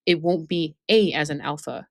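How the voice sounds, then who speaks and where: A woman's voice: low voice, normal speed, gravelly, no echo, clean studio sound. A woman instructor giving an informal training lesson, reading out points and explaining them, often checking that listeners follow. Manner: instructive, checks understanding with tag questions.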